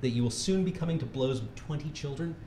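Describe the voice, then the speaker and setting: A man's voice: a little high, fast talker, warm tone. A man reads a humorous article aloud in a mock-serious, instructional way. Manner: often breaking into laughter. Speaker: reader at a charity livestream. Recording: echoey room with faint background noise.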